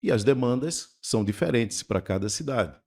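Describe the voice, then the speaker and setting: A man's voice: medium pitch, lively intonation, moderate pace, darkish tone. A man, co-hosting a radio talk show, who recaps recent events and sets up questions for the guest. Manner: framing questions, recapping news. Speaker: radio co-host.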